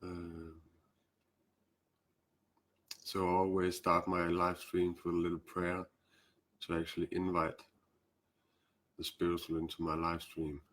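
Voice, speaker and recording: A man's voice: sits low, completely flat delivery, fairly bright tone. A man with a Danish accent speaking English as a second language, hosting a casual spiritual live stream with many hesitant fillers. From Denmark. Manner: hesitant, fills pauses with "uh" and "um".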